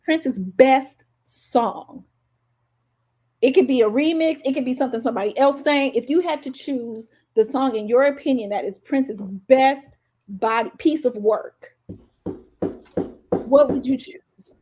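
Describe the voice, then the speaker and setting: A woman's voice: sits high, measured pace, mellow. A woman co-hosting a podcast, talking casually and emphatically about music as an opinionated fan. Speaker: podcast co-host.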